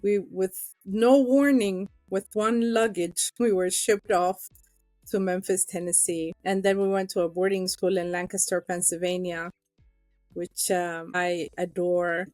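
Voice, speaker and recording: Interview guest: middle-aged woman from Ethiopia, low-ish voice, dry close sound, soft music under. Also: level tone, unhurried, no room sound. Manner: earnest, emotional, with fillers. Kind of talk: personal interview storytelling.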